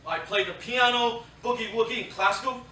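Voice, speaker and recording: A cocky young wartime soldier played by a man, punchy and bright in a staged reading. The voice rings through a very echoey space, away from the microphone. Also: extremely high pitch, fairly quick. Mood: cocky, teasing. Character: cocky, jive-loving twenty-year-old Japanese-American soldier. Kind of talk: staged reading of a historical play. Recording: very echoey theatre, distant mic.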